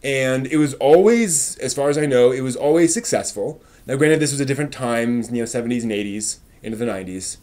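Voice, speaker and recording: Give this a man with a medium-pitched voice hosting a casual live stream. He talks off the cuff to his viewers, feeling his way through each thought. His delivery is halting and tends to trail off. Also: a little mellow. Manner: halting, thinking aloud, trailing off. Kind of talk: casual live-stream chat about anime, answering viewers' comments.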